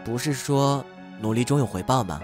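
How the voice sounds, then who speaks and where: A man playing an earnest, easily flustered high-school boy in an amateur campus audio drama. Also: normal speed, music in the background, lightly acted. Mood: defiant.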